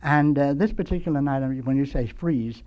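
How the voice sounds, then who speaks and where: An older American man speaks at a medium pitch as a radio guest. He reminisces about his working life in a halting, rambling way, telling anecdotes in a radio studio. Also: muffled recording. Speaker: radio guest, veteran sound effects man. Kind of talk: nostalgic anecdotal storytelling.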